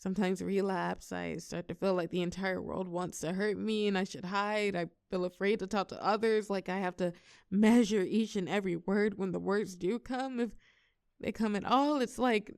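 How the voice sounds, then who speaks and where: A woman's voice: mid-range voice, fairly even intonation, normal speed, creaky, darkish tone, clean tone, dry recording, natural voice. A young woman playing a shy, tender college girl confiding in a close friend, hesitant yet playful, in an intimate audio roleplay. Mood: vulnerable, hesitant. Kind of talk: intimate scripted audio roleplay, one side of a conversation.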